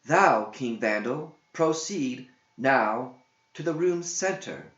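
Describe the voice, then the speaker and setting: A man reads as a stately automaton guarding a gate in a verse tale. The delivery is very slow, formal and ceremonious. The sound is dull and echoing. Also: medium pitch, animated, fairly bright tone, voice pushed up. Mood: solemn. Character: formal, solemn automaton gatekeeper welcoming a conqueror. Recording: dull roomy echoing home recording.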